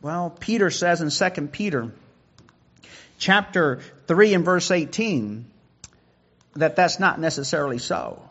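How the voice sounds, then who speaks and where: A man's voice: on the high side, expressive, unhurried, long pauses, a bit bright, highs cut off. A man preaching a sermon who often repeats words as he builds a point.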